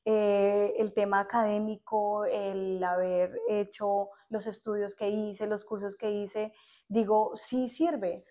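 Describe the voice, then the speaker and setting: A young adult woman with a Colombian accent, a teacher chatting as a podcast guest, animated and quick to laugh. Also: mid-range voice. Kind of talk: casual podcast interview.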